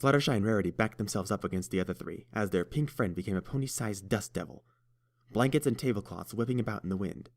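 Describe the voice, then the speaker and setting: Low-voiced man reading a story. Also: fast talker. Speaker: audiobook reader. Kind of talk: storybook narration.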